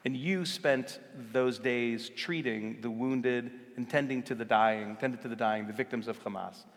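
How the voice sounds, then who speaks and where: Earnest man moderating in a hall. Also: mid-range voice. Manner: earnest, halting with restarts. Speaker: moderator, organization CEO. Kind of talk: onstage conversation. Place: large hall, live event.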